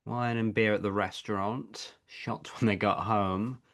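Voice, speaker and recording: An actor playing a brusque, sceptical police detective in a stage play, speaking in a blunt, probing way. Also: male voice, fairly low pitch, fairly even intonation, unhurried, fairly bright tone.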